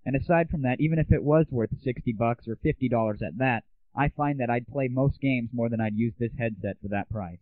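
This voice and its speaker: A man's voice: medium pitch, fairly quick, few pauses, no echo, phone-quality sound. A man hosting a podcast, giving a blunt, sarcastic review and swearing freely. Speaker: podcast host. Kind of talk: ranting gadget review.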